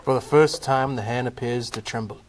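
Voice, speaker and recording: A man narrating a scripted comic play as a dramatic storyteller, in measured, deliberate phrases. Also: medium pitch, steady tone.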